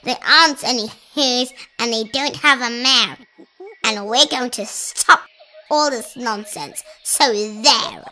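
A woman voicing a bullying, bossy male jungle monkey in a children's story, shouting indignantly. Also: fairly high pitch, lively intonation, unhurried, few pauses. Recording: clearly noisy recording.

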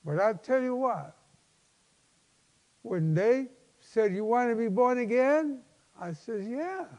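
A man in his seventies, a pastor preaching a personal testimony in an emphatic, halting, folksy way. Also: very high voice, lively intonation, a little mellow. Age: 70s. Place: large church sanctuary, at a lectern. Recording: long hall reverb.

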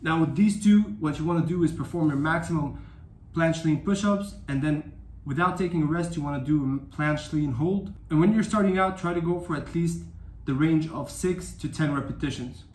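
A man's voice: fairly high pitch, moderate pace, darkish tone. A young man in his twenties giving casual home workout instructions, upbeat and direct, often checking in with "okay?" and "all right?", recorded by a camera on the floor of a tiled room. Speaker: fitness coach. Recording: echoey room with faint ambience.

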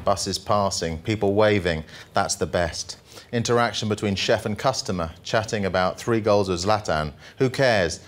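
Low-voiced middle-aged man reading aloud. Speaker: journalist reading poems at a workshop. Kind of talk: live poetry reading with casual asides to an audience. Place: indoor presentation room with projection screen. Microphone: handheld microphone.

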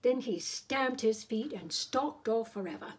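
Unhurried, breathy middle-aged woman storyteller. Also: fairly high pitch, expressive. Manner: deliberate, theatrical, playful asides. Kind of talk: expressive children's storybook read-aloud. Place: small room indoors.

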